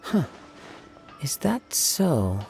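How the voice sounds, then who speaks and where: An actor playing a calm, polite young swordsman in a video game, composed, gentle and formal in his phrasing. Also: a little high, drawn-out words, creaky, over music, heavily acted. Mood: thoughtful.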